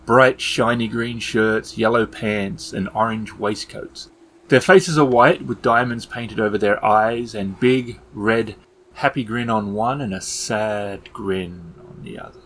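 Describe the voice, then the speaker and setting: A man working as game master, narrating scenes in measured, descriptive, literary language. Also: fairly low pitch, fairly bright tone. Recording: background ambience noise under voice.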